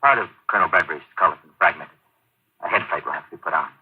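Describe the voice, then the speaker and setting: Deep, brisk radio-drama medic, tinny. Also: male voice, animated, slightly rough, exaggerated voice. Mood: measured, reassuring. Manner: clinical, matter-of-fact. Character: brisk male hospital medic, businesslike and steady. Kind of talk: 1950s radio drama acting. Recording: muffled, tinny old radio broadcast.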